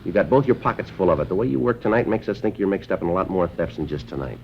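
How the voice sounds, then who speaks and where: A man playing a stern, matter-of-fact police sergeant in a 1950s radio drama, clipped and deadpan with an American accent. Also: low voice, racing, slight background noise. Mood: stern lecturing. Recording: muffled vintage radio recording.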